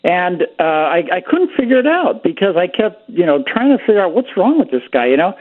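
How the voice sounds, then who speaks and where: Doctor guy calling in from pennsylvania, kinda high voice, tinny phone line, relaxed storyteller. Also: a little fast. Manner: easygoing storyteller, relaxed and chatty. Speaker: physician guest. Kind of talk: casual radio chat. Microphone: phone call.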